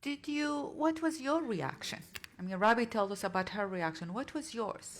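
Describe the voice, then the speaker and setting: A woman's voice: medium pitch, big pitch swings, brisk, slight background noise. A woman whose native language is Arabic, moderating a panel and asking earnest, probing questions. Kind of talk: panel interview.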